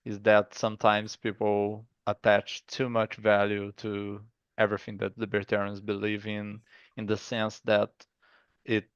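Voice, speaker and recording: A man's voice: on the low side, vocal fry, smooth, dry recording, highs cut off. A man with a Brazilian accent, a guest in a casual interview, speaking thoughtfully with many fillers and restarts. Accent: Brazilian Portuguese. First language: Portuguese. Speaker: guest artist.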